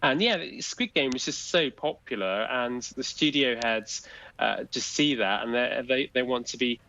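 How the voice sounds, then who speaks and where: A man's voice: mid-range voice, a little slow, vocal fry, bright, forceful tone, dull audio, slight background noise. A man speaking as a guest commentator on a news program, talking hesitantly with many fillers and restarts. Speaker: film industry guest commentator. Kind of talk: live studio interview discussion.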